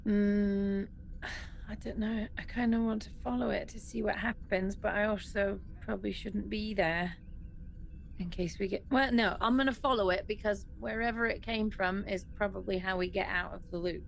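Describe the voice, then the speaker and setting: A woman's voice: medium pitch, steady tone, normal speed, creaky, a little hiss or hum, low-quality recording. A woman chatting casually as a player in a tabletop role-playing game, thinking aloud and reacting readily.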